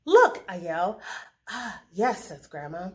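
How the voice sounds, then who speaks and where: A woman reads aloud to children as a story host. Her delivery is slow and measured, with animated swings in pitch at an ordinary middle register. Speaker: story reader and craft host.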